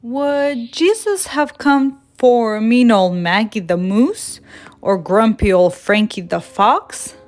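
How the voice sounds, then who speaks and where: A woman's voice: fairly high pitch, expressive, takes it slowly. A woman voicing a curious, innocent little bear cub with a childlike, questioning way of talking in a storybook.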